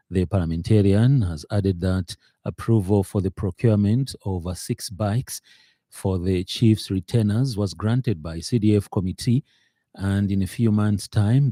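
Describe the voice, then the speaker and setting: A man with a Zambian English accent reading a radio news bulletin in a measured, formal way with occasional hesitations. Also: on the low side, warm tone, no echo. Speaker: radio newsreader. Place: radio studio.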